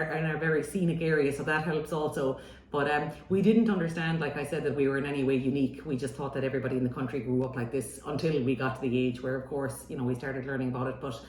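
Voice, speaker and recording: Chatty woman in an echoey room. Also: sits low. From Ireland, Gaeltacht. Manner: relaxed and chatty, many fillers and restarts. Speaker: university language officer, interviewee. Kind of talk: conversational interview answers.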